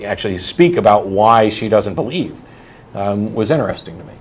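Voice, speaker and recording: A man's voice: lowish voice, expressive, moderate pace, breathy edge. A man hosting a call-in talk show, answering a caller bluntly and explaining his arguments at length. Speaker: host.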